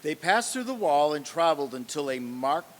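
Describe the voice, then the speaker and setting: A man's voice: on the high side, big pitch swings, moderate pace, a little hiss or hum. A man narrating a radio play as a vivid, wry storyteller with dramatic delivery. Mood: storytelling. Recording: echoey hall.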